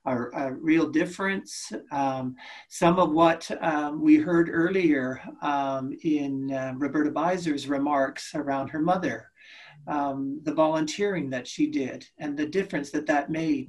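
A panelist answers an audience question encouragingly. The delivery is full of small hesitations and fillers. The pitch is ordinary.

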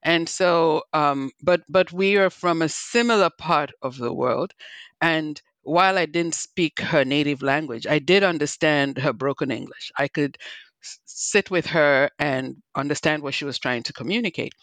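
A woman's voice: low-pitched, moderate pace, a bit bright, no echo. A woman with a Nigerian accent speaks as an interview guest. She tells her story in a reflective, emphatic way, addressing the listener directly. The recording is dry, with very little room sound. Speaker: guest, physician and health executive.

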